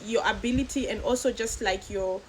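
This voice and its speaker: A woman's voice: medium pitch, brisk, a little hiss or hum, rough recording. A woman from Zambia talking as a guest, reflecting thoughtfully and casually with a friend. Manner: thoughtful, reflective, many fillers.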